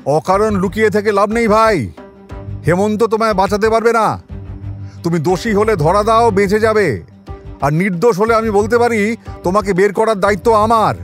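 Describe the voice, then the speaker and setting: A male actor playing a shrewd, world-weary senior detective in a crime audio drama, with a measured, wry, colloquial delivery. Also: high voice, a bit bright, faint music behind. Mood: loud coaxing.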